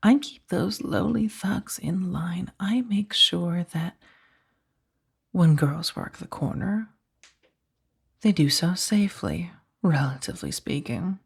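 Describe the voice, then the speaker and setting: A woman playing a powerful, condescending mafia boss in a soft-spoken ASMR roleplay, taunting with mock sweetness and a breathy, husky voice that dips toward whispers. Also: lowish voice, slow pace, full character voice. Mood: cool, menacing.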